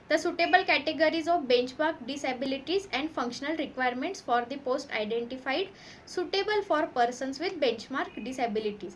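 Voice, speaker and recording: Indian female coaching instructor, high voice, explanatory read-through with mixed-language terms, faint background noise. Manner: code-switches between Marathi and English terms. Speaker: exam coaching teacher. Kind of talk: explanatory lesson, reading notification points aloud.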